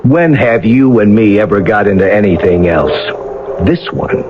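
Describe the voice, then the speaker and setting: Man acting blunt big salvage man, slangy, animated pitch, radio drama, dull and noisy old recording. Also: moderate pace, close to an everyday voice. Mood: wry. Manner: gruff buddy banter, slangy. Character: big, brisk ex-Navy salvage partner, blunt and matey. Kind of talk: 1980 radio drama dialogue.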